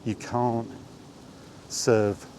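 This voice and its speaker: A man giving an unscripted opinion monologue in an emphatic, deliberate manner, leaning on rhetorical questions and repetition. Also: medium pitch, little pitch movement, dark tone, slight background noise. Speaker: video host sharing opinions on gaming.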